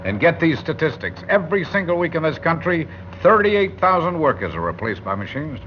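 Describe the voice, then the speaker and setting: An actor playing a brusque, sarcastic, impatient elevator passenger in a classic radio drama, mocking and blustery. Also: male voice, fairly high pitch, very animated, brisk. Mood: smug, scoffing. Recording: dull, hissy old radio recording.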